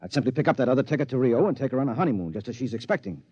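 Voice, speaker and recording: A man's voice: medium pitch, expressive, rapid-fire, darkish tone, slightly rough, no room sound. An actor playing a blunt, matter-of-fact married man in a 1950s radio play, in a dull old recording. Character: practical middle-aged husband friend.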